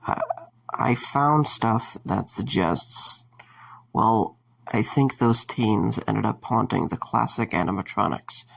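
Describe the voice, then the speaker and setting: A man playing a wry, concerned young man in an audio drama, speaking in a low-key, halting way. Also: gravelly, fairly bright tone, husky, heavily acted, poor audio. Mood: grave, determined.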